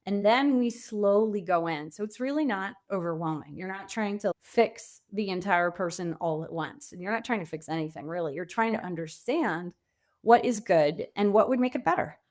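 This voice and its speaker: A woman's voice: mid-range voice, lively intonation, normal speed, darkish tone. A woman in her fifties giving candid, reflective answers as a guest in a conversational interview. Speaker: podcast guest, career coach.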